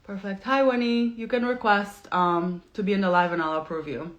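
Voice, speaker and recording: A woman's voice: medium pitch, steady tone, moderate pace, dull audio. Chatty woman hosting a video call. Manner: chatty, laughing often, many fillers. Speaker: podcast host. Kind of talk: casual live video interview. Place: home in New York.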